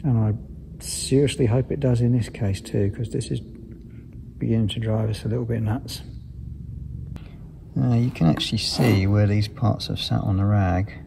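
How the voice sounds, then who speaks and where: A man's voice: fairly low pitch, fairly even intonation, normal speed, creaky, pauses often. A man giving thoughtful, unscripted technical commentary as he works through a repair step by step, recorded on a hand-held device with some noise. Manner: thoughtful, explaining step by step while working. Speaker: aircraft maintenance engineer presenting a repair video.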